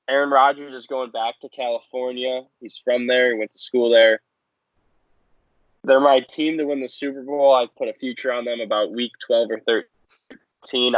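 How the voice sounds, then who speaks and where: A man's voice: medium pitch, no echo. A man co-hosting a podcast with casual sports commentary. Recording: dry, slightly dull lo-fi audio.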